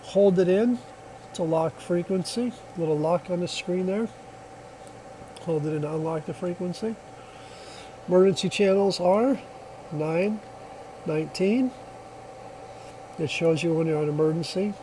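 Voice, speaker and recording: Mellow, higher-voiced man demonstrating gear. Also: a little slow, pauses often, slight background noise, poor audio. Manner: plainspoken, step-by-step explaining. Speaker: product reviewer. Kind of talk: casual hands-on product demonstration.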